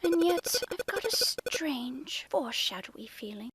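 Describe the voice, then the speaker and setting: Woman playing a high-voiced, swooping magic teacher. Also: big pitch swings, unhurried, a bit bright, no echo, full character voice, poor audio. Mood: uneasy, reassuring. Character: whimsical female magic teacher, reassuring and secretive. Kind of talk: animated game fan dub acting.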